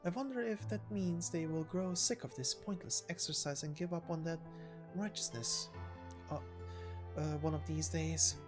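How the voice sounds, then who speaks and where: A woman's voice: lowish voice, moderate pace, over music, natural voice. A woman voicing a playful, mocking schemer from a game story, musing and taunting. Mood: cool musing.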